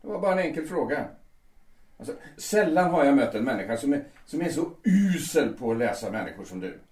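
A man's voice: mid-range voice, echoey room, lightly acted. A man playing a cold, vengeful colleague. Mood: coldly menacing. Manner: sardonic, calmly menacing. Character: resentful male stage colleague, cold and vengeful. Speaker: actor playing Urban. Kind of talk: audio drama acting.

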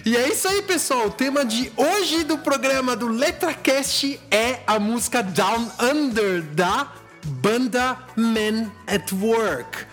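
A man's voice: very high voice, expressive, slow pace, fairly bright tone. A man hosting a casual music podcast, playful and teasing with his co-host.

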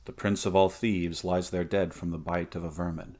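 A man voicing a gruff, fearless barbarian warrior in a fantasy story, terse and muttering. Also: sits low, little pitch movement, moderate pace, a little mellow, voice pushed down. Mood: grim, muttering.

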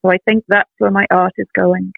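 Gravelly older woman on a phone line. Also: medium pitch, little pitch movement, a little fast, faint music behind. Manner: reflective, earnest, many soft ums. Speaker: artist guest. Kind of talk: casual interview chat. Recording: tinny dry phone line.

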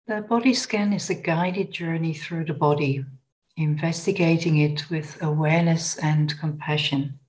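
A woman leading a guided body scan meditation in a gentle, unhurried, instructional manner. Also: low-pitched, poor audio.

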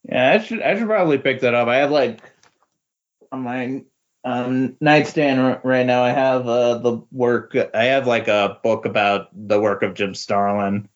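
A man's voice: medium pitch, measured pace. A man chatting casually as a podcast co-commentator, often hesitating as he searches for his words. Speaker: podcast co-commentator on comic books. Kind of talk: casual chat. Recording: slightly dull, lo-fi audio.

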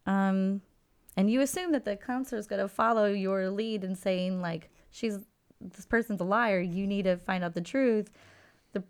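A woman's voice: medium pitch, moderate pace, smooth. A woman therapist on a chat show, speaking earnestly and emphatically about her clients. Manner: earnest, emphatic, agreeing often. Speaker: therapist and guest. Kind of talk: casual chat, explaining her work.

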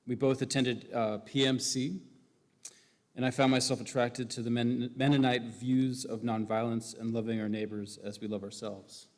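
A man from Hawaii giving an earnest, measured faith testimony in church, with occasional fillers. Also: mid-range voice, level tone, darkish tone. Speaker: new church member candidate. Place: church sanctuary. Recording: long reverb of a large hall.

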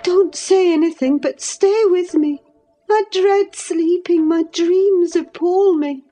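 A woman voicing a feverish, delirious young woman in an audiobook, speaking high and pleading. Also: slow pace, dark tone, faint music behind. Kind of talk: audiobook character reading.

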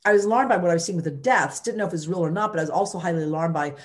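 Energetic woman, former mayor, lowish voice. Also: racing. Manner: energetic, emphatic, many restarts. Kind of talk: interview storytelling.

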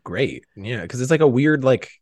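A man in casual podcast chat, excitable and slangy, given to hyperbole. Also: mid-range voice, moderate pace, no room sound.

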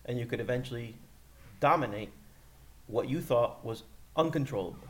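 Laid back podcast guy, jokey, mid-pitched voice. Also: moderate pace. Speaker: podcast co-host. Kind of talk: casual chat.

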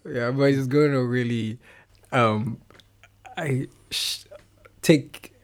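A man's voice: medium pitch, steady tone, slow. A young Nigerian man with a Nigerian accent, a musician guest in a casual interview, laughing often and restarting his words.

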